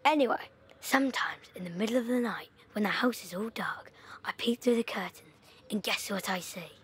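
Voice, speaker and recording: A woman playing a sharp, observant young wartime boy, talking in a knowing, storytelling way in an audio drama. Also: medium pitch, normal speed, husky. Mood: secretive whisper.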